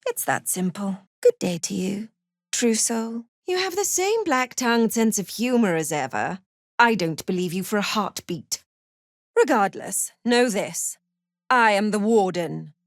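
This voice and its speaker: A woman playing a cruel, haughty prison warden in a fantasy video game, menacing and condescending, with measured, deliberate delivery. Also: mid-range voice, expressive, no room sound, studio quality. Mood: cold, condescending. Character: cruel, haughty female prison warden, zealous cult follower.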